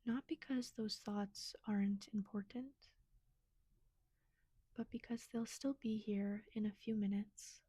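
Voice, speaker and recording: A woman leading a guided meditation in a gentle, soothing, soft and breathy manner. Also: mid-range voice, fairly even intonation, unhurried, no echo.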